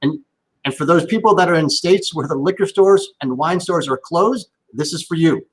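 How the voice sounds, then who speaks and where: A man's voice: on the high side, normal speed, rough recording. Upbeat, chatty male stream host. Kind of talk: live-streamed interview. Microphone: video call.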